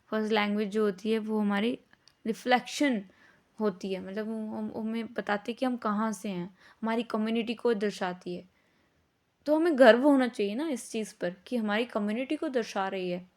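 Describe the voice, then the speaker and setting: Woman, slightly high pitch, informal teaching, conversational explanations, English words mixed in, frequent tag questions. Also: moderate pace, darkish tone. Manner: mixes English words into speech.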